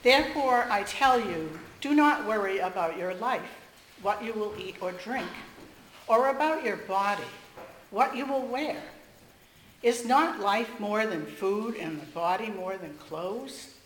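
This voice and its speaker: Female lay reader, strained voice, measured scripture reading, echoey room. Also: mid-range voice, lively intonation, slight background noise. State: strained voice after losing it earlier. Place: church sanctuary.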